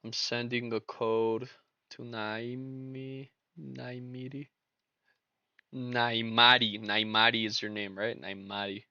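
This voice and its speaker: A man's voice: mid-range voice, flat delivery, slow pace, bright, forceful tone, no room sound, lo-fi sound. A young man hosting a casual trading live stream, laid-back and slangy, talking to his chat. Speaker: livestream trader and host.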